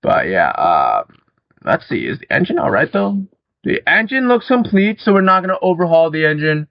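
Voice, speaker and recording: A young man with a slightly high voice talks his way through a car-building game, loose and rambling, with casual swearing thrown in. The sound is dry and close, with a somewhat dull, muffled tone. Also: huge pitch swings, moderate pace, creaky, no echo. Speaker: gaming channel host. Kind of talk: casual gameplay commentary.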